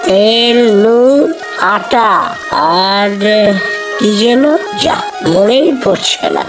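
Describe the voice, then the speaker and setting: A woman voicing a food-loving family father in a cartoon, homely and expressive. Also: fairly high pitch, extremely slow, over music. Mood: cheerful, musing. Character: food-loving family father, cheerful but easily sulky. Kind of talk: cartoon dialogue.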